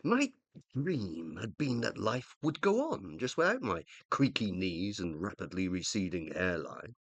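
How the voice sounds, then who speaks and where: An actor playing a dead scientist husband in a radio play, middle-aged and British, pompous, plaintive and indignant. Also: male voice, deep voice, very animated, a little slow, a bit bright, dry recording, heavily acted. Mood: wounded, wistful. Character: dead scientist husband living as an uploaded consciousness, needy, indignant. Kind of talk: radio play dialogue and monologue.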